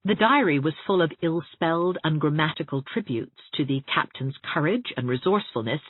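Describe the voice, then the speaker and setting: A woman's voice: sits low, husky, dry recording, narrow, tinny audio. A woman narrating an audiobook story expressively from a script.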